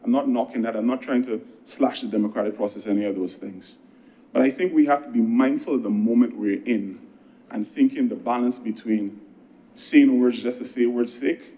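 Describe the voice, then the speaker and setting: A man with a Barbadian accent delivering a parliamentary speech as a government minister, conversational and earnest, with emphatic pauses. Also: medium pitch, warm tone, muffled recording. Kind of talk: political speech in parliament with anecdotes.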